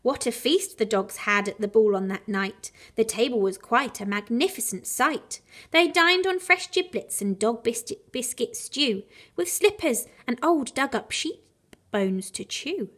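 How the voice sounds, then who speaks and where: Female storyteller, high-pitched, expressive children's bedtime read, animated rhyming delivery with dramatic emphasis. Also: unhurried. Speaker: primary school teacher reading a bedtime story.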